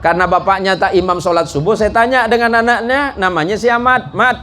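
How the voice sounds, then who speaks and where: A man's voice: sits high, a little fast, pushed and bright. A man preaching a sermon to a congregation in a jocular, folksy way, often putting questions to his listeners. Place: mosque gathering before a live congregation. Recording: live talk with clear background noise.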